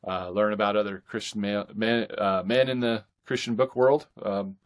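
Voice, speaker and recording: A man with a fairly low voice speaks as an author guest on a podcast. He chats casually, in the relaxed give-and-take of an interview. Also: moderate pace.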